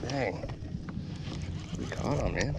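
A man's voice: mid-range voice, expressive, takes it slowly, creaky, heavy background noise. A man giving casual, laid-back vlog commentary as he fishes, recorded on an action camera outdoors in a kayak. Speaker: fishing vlogger. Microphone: body-worn action camera.

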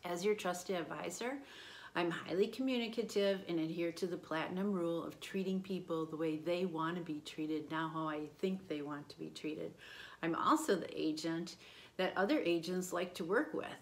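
A woman in her fifties speaks straight to the camera from a room at home, her voice sitting a little low. She works through her points in order with steady confidence, like an agent pitching her services. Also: normal speed, vocal fry. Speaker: real estate agent. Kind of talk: promotional talk to camera. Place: indoor home room by a window.

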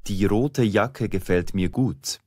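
A man reading short lesson sentences slowly and clearly, repeating each one. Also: fairly low pitch, darkish tone, no room sound, clean studio sound. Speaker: language lesson narrator. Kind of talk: slow, clearly articulated example sentences, each said twice.

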